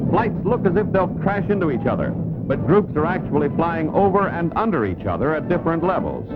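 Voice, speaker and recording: A man reading scripted newsreel narration in a clipped, showman-like style. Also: on the high side. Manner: clipped, article-dropping, showman-like. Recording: dull old film soundtrack, loud music. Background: loud music.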